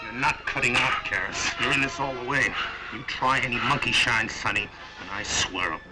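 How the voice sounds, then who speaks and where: An actor playing a hard, threatening American soldier conspirator in an old training film drama. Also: male voice, medium pitch, normal speed, lightly acted, rough recording. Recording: old film soundtrack, loud music.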